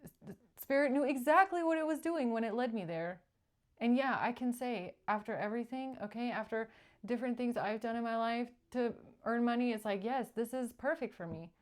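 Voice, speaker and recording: A woman hosting a tarot reading, speaking spontaneously to viewers and repeating short phrases for emphasis between pauses. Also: a little high. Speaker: tarot reader and channeller, show host.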